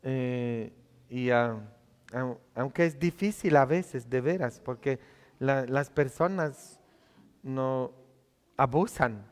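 A man's voice: mid-range voice, slow pace. A priest in his thirties, not a native Spanish speaker, preaching a conversational homily full of tag questions from the altar of a church. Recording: long church reverb.

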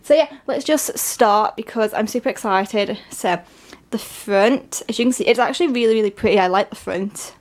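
A woman from England with a stuffy, congested voice from a cold, chatting excitedly through an unboxing with lots of fillers. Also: medium pitch, normal speed. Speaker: vlogger. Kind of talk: casual unboxing narration. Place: at home, at a table.

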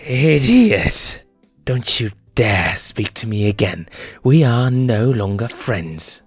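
A man's voice: medium pitch, big pitch swings, slow pace, slightly rough, dry recording, faint music behind, full character voice. A man voicing a feisty young female lion cub in an amateur comic dub, with a scolding, emphatic manner. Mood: annoyed, angry. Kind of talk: amateur comic fandub acting.

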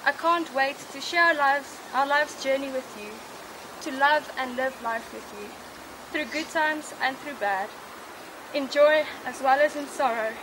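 A young woman reading her wedding vows aloud at an outdoor ceremony, playful and warm. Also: high voice, a little slow. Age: young adult. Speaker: bride. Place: outdoor wedding under trees. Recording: loud outdoor noise.

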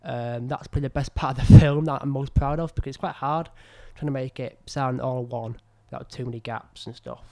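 A young man with a croaky voice from illness, casually commenting on his old film, rambling and self-critical with many fillers, close to a boom-arm mic in a small room. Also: steady tone, fairly quick, few pauses, mellow. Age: young adult. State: ill, croaky voice. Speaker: filmmaker reviewing his own work. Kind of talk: casual commentary over footage. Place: small room indoors at a desk. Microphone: studio mic on a boom arm close to mouth.

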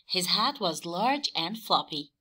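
Slow, animated woman teacher. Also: lowish voice, breathy edge. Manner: expressive, questioning, emphatic. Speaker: teacher and story reader. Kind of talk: read-aloud lesson for young children.

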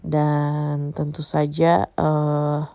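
A woman narrates a casual travel vlog in a dark, mellow voice with a gravelly fry. She explains what is around her straight to the viewers. The sound is close and dry. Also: low-pitched, monotone, extremely slow, no echo. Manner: explanatory, addressing viewers directly. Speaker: travel vlogger.

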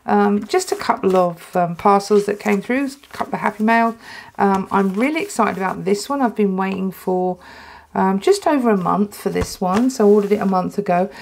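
A woman with an English accent, casually chatting while unboxing a stationery haul at a tabletop, excitable and full of ums and restarts, her throat dry. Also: mid-range voice, moderate pace, gravelly. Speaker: planner vlogger. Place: indoors at a tabletop.

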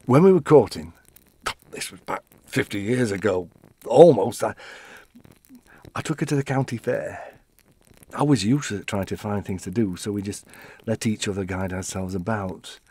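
Low-voiced elderly widower, wistfully reminiscing. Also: male voice, expressive, dark tone, husky, no room sound. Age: older. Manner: conversational, halting, reflective storytelling. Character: elderly widower reminiscing wistfully about his late wife. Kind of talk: audio play monologue.

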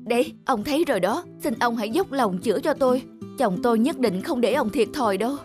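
A woman voicing a sickly, entitled merchant's wife in an animated folk tale, plaintive and demanding. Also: on the high side, a little fast, over music, close to an everyday voice. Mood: anxious, demanding.

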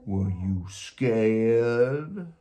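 A man's voice: fairly low pitch, expressive, extremely slow, a crowd in the background, pitched above the performer's own voice. A man voicing a menacing but fond male storybook monster with a growl, speaking with theatrical, hungry relish. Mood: playfully menacing. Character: menacing, hungry, affectionate old-hand male under-bed monster.

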